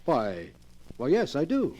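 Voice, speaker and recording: A man's voice: on the high side, wildly swooping pitch, slow, warm tone, heavily acted. An actor playing a nervous, polite young man who stammers, in 1940s radio thriller style on a very noisy old recording. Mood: flustered. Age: young adult. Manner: stammering, flustered.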